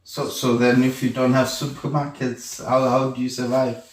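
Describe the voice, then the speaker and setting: A man's voice: medium pitch, flat delivery, normal speed. A man hosting an interview show, asking questions hesitantly and often repeating words. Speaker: host. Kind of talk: casual interview. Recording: echoey room, distant from mic.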